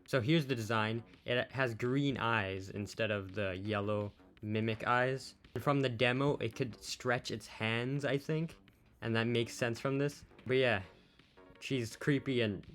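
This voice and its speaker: A man's voice: medium pitch, fairly bright tone. Casual man over background music. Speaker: YouTube host giving gaming news. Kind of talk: casual news summary commentary over images. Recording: music behind the voice.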